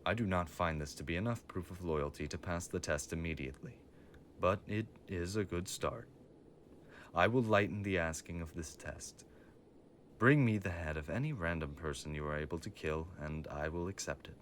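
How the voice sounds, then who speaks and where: A man's voice: low-pitched, normal speed, fairly bright tone, slight background noise. A man playing a stern, commanding mafia father in an audio drama, speaking with formal, clipped authority. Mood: cold, commanding.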